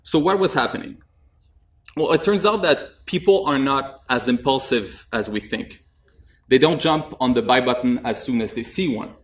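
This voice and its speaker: A man's voice: medium pitch, moderate pace. Young man presenting in an echoey room. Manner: relaxed and chatty, with frequent "uh" fillers and light jokes. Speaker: conference presenter. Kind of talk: technical conference talk. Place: conference room with audience, indoors. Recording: echoey room, mic at a distance.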